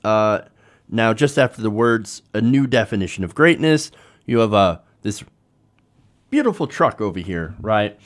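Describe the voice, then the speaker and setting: A man's voice: lowish voice, lively intonation, a little slow. A man hosting a political commentary show, talking informally to viewers in a conversational way with rhetorical tag questions.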